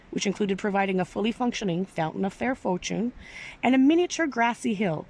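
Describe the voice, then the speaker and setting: A woman's voice: medium pitch, a little mellow. Woman reading a storybook, noisy recording. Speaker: storyteller reading aloud to an audience. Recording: clearly noisy background.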